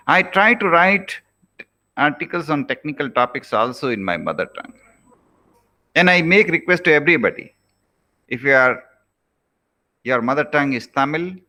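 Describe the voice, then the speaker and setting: A man's voice: mid-range voice, bright, forceful tone. A man with an Indian accent, a native Rajasthani speaker, giving an earnest recorded lecture as a professor, often repeating his key points.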